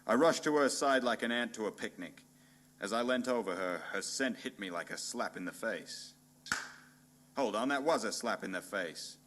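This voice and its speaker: A man playing a hard-boiled, pompous and bumbling private detective in a noir radio play parody, narrating in a deadpan, melodramatic way. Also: fairly low pitch, moderate pace, a little hiss or hum. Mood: deadpan brooding.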